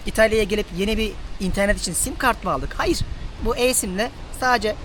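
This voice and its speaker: A man's voice: sits high, fairly quick, a bit bright. A man hosting a casual travel vlog, talking informally and directly to viewers. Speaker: travel vlogger, host. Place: outdoors and on the road. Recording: noisy outdoor audio with traffic ambience.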